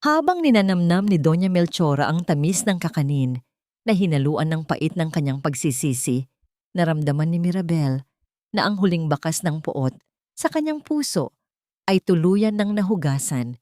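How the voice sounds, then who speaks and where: A woman narrating a scripted dramatic story in a measured, solemn storytelling manner. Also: low voice, lively intonation, mellow, dry recording, studio quality. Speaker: narrator of Tagalog love stories.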